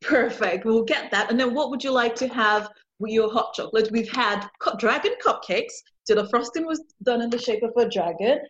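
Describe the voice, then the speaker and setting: A woman's voice: fairly high pitch, normal speed, fairly bright tone. A woman hosting a casual interview podcast in a chatty, effusive way, on a somewhat lo-fi recording. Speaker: podcast host. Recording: dull, lo-fi sound.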